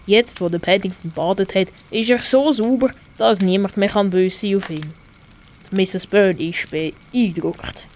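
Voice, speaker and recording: A woman's voice: mid-range voice, a little slow, noisy background. A teenage girl with a Swiss German accent reading scripted narration for a school radio play.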